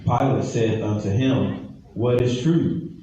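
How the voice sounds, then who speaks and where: A man reading scripture aloud in a plain, measured way during a sermon. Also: mid-range voice, warm tone. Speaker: scripture reader. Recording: echoey hall, distant mic, dull sound, faint noise.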